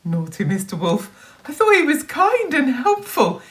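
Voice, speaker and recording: Very expressive, unhurried woman reading to children. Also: high-pitched. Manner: expressive, dramatic emphasis, questioning the listeners. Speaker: school teacher reading a story to children. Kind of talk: animated children's storytelling with asides.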